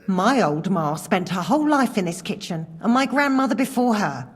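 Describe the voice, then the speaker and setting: An actress playing a sharp-tongued, warm-hearted middle-aged castle cook with a wry, no-nonsense manner in a game cutscene. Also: female voice, medium pitch, very animated, normal speed, breathy edge. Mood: nostalgic, chiding.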